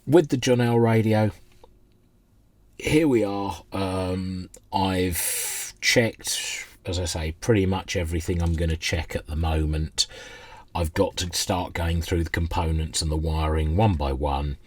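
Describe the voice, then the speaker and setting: A man's voice: sits low, a little slow, darkish tone, no room sound. A middle-aged man chatting in a rambling, self-deprecating way as he gives a casual unscripted demonstration at his workbench. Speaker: hobbyist presenter restoring vintage radios. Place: indoor workbench.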